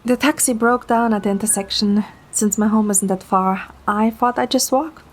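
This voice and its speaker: High-voiced, tipsy, stubborn young woman played by a woman. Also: steady tone, normal speed, close to an everyday voice. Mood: mildly tipsy. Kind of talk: romantic audio drama acting with first-person narration.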